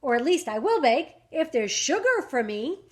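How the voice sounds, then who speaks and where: A woman's voice: sits high, very animated, moderate pace. A woman voicing an eager, scatterbrained male cricket in a rhyming storybook, exclaiming politely and getting flustered. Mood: polite pleading.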